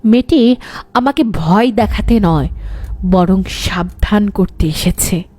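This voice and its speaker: A woman's voice: medium pitch, slow pace, warm tone. A woman voicing a frightened young daughter who narrates a scripted horror cartoon with dramatic delivery. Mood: uneasy, suspenseful.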